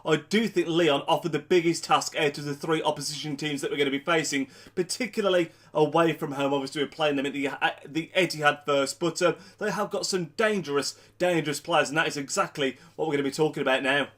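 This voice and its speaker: A man's voice: on the high side, fairly even intonation, fast talker, hardly any pauses, fairly bright tone. A young man with a blocked nose from a cold, talking through a football match preview in a chatty vlog, doubling up words for emphasis. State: congested with a cold. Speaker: football fan vlogger.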